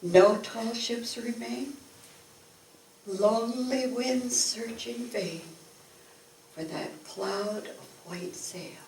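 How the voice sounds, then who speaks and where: Older lady reading poetry out loud, highish voice, expressive, mic far off w/ some noise. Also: extremely slow, pauses often. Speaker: poetry reader at a community event. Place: event room, recorded from a distance. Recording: echoey, noisy room, distant microphone.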